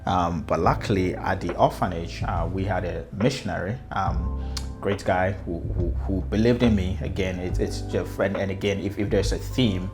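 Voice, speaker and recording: The kind of low-voiced Ghanaian man you'd hear on a personal-story podcast, feeling for his words over a music bed. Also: fairly even intonation. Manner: hesitant, halting with repeated words. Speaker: speaker telling own life story, customer experience consultant.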